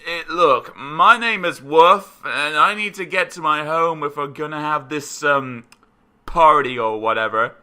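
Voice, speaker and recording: A man's voice: on the high side, a little slow, sharp tone, clear, resonant tone, voice pushed up. A man voicing a grumpy, sarcastic male cartoon character who grumbles dryly and is easily exasperated. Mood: weary annoyance.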